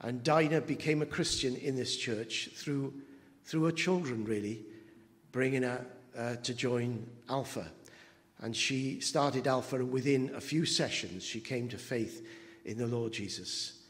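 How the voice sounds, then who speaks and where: A man in his seventies preaches from the front of a church, his unhurried words trailing off into a long church reverb. His voice sits at a middling pitch, and he halts on fillers before pressing key phrases with emphasis. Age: 70s. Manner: slow, halting with many fillers, rising to emphatic. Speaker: pastor. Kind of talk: anniversary sermon with slides. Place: church hall.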